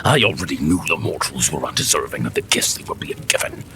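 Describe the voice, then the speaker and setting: An actor playing an arrogant, resentful male fire-primal warlord in a fantasy audio drama, sneering and boastful, with a gravelly voice. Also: a bass voice, expressive, quick pace, noisy background. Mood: bitter, indignant.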